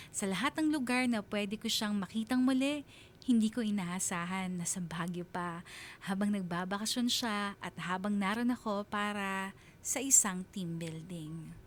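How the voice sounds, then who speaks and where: A woman playing a reflective single mother, telling her love story in earnest, sentimental, deliberate phrases like a confessional radio drama. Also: medium pitch, slight background noise, lightly acted. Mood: guarded, surprised. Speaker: narrator of a radio drama letter.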